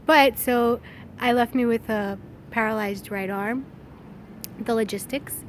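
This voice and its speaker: Candid woman vlogging over background noise. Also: on the high side. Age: 30s. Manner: candid, rambling, thinking aloud. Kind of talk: casual personal vlog, reflective monologue. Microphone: phone.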